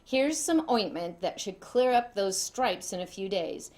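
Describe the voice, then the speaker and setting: A woman voicing a brisk, inquisitive male doctor in a children's story, fussy and emphatic. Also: medium pitch, expressive, darkish tone, lightly acted.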